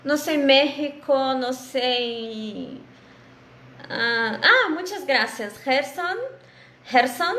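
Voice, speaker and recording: A Brazilian woman with a Brazilian Portuguese accent speaking Spanish as a second language, greeting an online audience as a guest lecturer, halting with many fillers and restarts, over a video call. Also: high-pitched, animated, pushed and bright, echoey room, a little hiss or hum.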